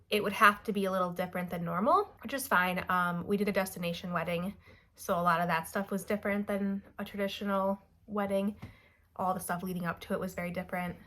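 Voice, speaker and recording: A young woman talks to her camera at home, her voice a touch nasal and stuffy as she gets over a cold. She rambles along casually, filling pauses with "um" and "like". Her pitch sits in an ordinary middle range. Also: pushed and bright. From Wisconsin, USA. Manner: chatty, rambling with many fillers like "um" and "like". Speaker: vlogger. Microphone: camera mic.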